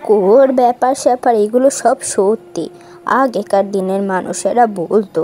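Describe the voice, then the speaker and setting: A woman's voice: medium pitch, normal speed, mellow, natural voice. A woman voicing a cautious, superstitious middle-aged village mother in a cartoon, speaking confidingly. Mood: firm, cautious. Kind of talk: cartoon voice acting.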